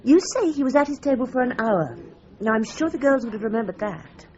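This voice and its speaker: A woman's voice: fairly high pitch, expressive, brisk, darkish tone. A woman playing a helpful, talkative, worldly restaurant manageress in a radio drama. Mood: helpful, confiding. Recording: slightly dull radio drama recording.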